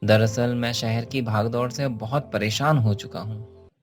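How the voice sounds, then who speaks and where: A man's voice: mid-range voice, fairly even intonation, a little slow, soft background music, close to an everyday voice. A man playing an earnest office worker and devoted family man in a cartoon story, explaining himself plainly. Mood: reassuring.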